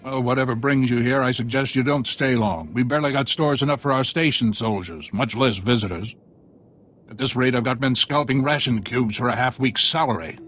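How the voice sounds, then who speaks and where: An actor playing a harried, deferential outpost commander in a sci-fi video game, obliging and plainspoken. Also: male voice, mid-range voice, brisk, fairly bright tone, natural voice. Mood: deferential, worried. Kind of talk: video game dialogue acting.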